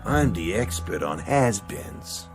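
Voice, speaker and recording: Sleazy cigar chomping boxing manager, sneery, very up and down voice, music under. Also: male voice, fairly low pitch, very animated, takes it slowly. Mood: smug. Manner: sneering, needling. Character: sleazy, mocking cigar-smoking fight manager. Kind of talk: radio drama acting.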